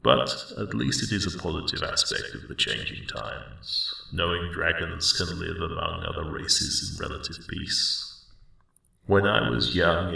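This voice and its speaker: Ancient friendly dragon for asmr, super gravelly deep rumble, rambly and slow, really echoey and a bit far off. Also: male voice, full character voice. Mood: reflective. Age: elderly. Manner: slow, rambling, reflective, gently wry. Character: friendly ancient male dragon, mellowed guardian of a spring. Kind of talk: ASMR roleplay monologue. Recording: roomy cave-like echo, distant sound.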